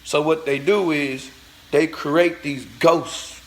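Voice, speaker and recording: A man with a high voice delivers a fired-up vlog rant, blunt and profane. He lectures his viewers directly, driving each point home like a sermon. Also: fairly even intonation, slow, slight background noise. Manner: blunt, profane, preachy, talks straight at the viewers. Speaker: vlogger. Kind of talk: ranting monologue to camera.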